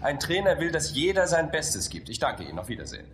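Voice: mid-range voice, very animated, fast talker, a bit bright, reverberant, long reverb tail, slight background noise. An actor playing a curt, evasive sports coach in a radio drama, with a dry, sardonic manner. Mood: dry, dismissive.

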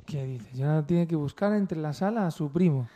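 A man co-hosts a casual radio chat. His voice is notably high for a man, with a dark, relaxed tone that keeps it mellow. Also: moderate pace. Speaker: radio show co-host.

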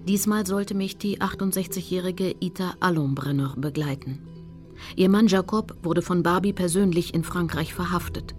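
A woman's voice: lowish voice, moderate pace, lightly acted. An actress playing a determined female activist, recounting her campaign in composed, precise first-person narration for a radio play. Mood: composed narrating. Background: music.

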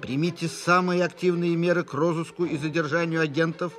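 Over faint music, a male actor plays an official reader of wartime dispatches. His fairly high male voice is formal, solemn and deliberate, as scripted radio-drama narration. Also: level tone, a bit bright, natural voice. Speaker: reader of a military telegram in an audio drama.

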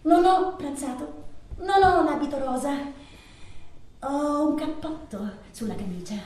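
Heartbroken woman begging her lover on the phone, high voice, super echoey n far from mic. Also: animated. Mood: agitated, pleading. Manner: halting, repetitive, swinging from hushed to anguished. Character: desperate lovesick abandoned woman pleading on the telephone. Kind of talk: theatrical stage monologue. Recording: very echoey, distant stage recording, noisy.

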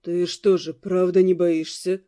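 Guy playing a lazy twenty-something bandit dandy in an audiobook, mellow, unhurried, kinda condescending. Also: high-pitched, little pitch movement. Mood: curious. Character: lazy, amused, dandyish male robber in his twenties.

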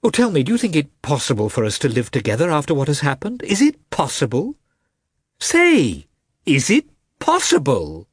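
A man's voice: on the high side, sing-song, fast, hardly any pauses, husky, dry recording, studio quality, voice pushed up. A man voicing a furious, anguished wife. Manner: shouting, accusing, raised voice. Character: distraught, bitter wronged wife and mother.

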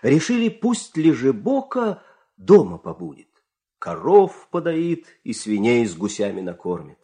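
Male, folk-tale teller and country husband for audio drama: expressive, theatrical, very lively intonation. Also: mid-range voice, measured pace. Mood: animated storytelling. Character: storyteller and coaxing, secretive farmer husband of a folk tale. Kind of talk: expressive audio play acting and narration.

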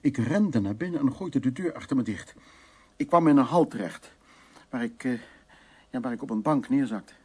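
A middle-aged art restorer in a radio drama. His voice is somewhat husky and sits at an ordinary male pitch. He is brusque and musing, hesitating and repeating words as he goes. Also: expressive, moderate pace, warm tone. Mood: agitated recollection. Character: Dutch art restorer, brusque, haunted by wartime memories. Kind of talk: naturalistic radio drama acting.

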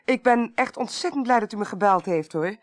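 A woman's voice: mid-range voice, a little fast, dry recording, clean studio sound, lightly acted. A woman acting in a radio play as a self-assured, direct, businesslike professional investigating a case. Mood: urgent, businesslike.

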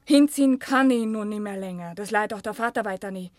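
A woman's voice: mid-range voice, brisk, dry recording, studio quality, natural voice. An actress playing an earnest, plain-spoken young village working woman with a Silesian accent in a German radio play. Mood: earnest, resigned.

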